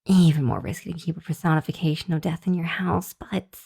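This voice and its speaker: A woman voicing a shy, earnest young hellhound demon girl in an ASMR roleplay, speaking to the listener in a hesitant, flustered, halting way. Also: fairly low pitch, fairly even intonation, vocal fry, dark tone, husky, full character voice. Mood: flustered, pleading. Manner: hesitant, flustered, halting with sighs and nervous chuckles.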